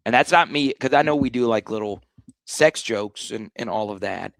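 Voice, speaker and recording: A man with a slightly hoarse voice hosting a live sports talk stream, blunt and animated, often restarting his sentences. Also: mid-range voice, moderate pace, no echo. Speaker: sports show host.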